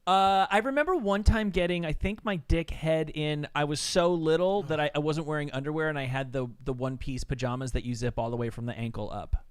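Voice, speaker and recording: A man's voice: on the high side, brisk, hardly any pauses, clean tone, dry recording. A man on a show, throwing in dry wisecracks. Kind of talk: casual chat.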